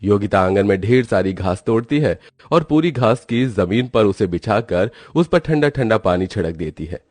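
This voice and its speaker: A man's voice: on the low side, lively intonation, normal speed, a little mellow, dry recording. A man reading scripted storybook narration as the narrator of a tale.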